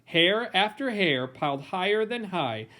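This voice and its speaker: Male storybook reader, slightly high voice, playful and theatrical delivery for young listeners. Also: big pitch swings, bright, forceful tone. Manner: theatrical, playful, dramatic emphasis and pauses. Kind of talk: expressive read-aloud of a children's picture book.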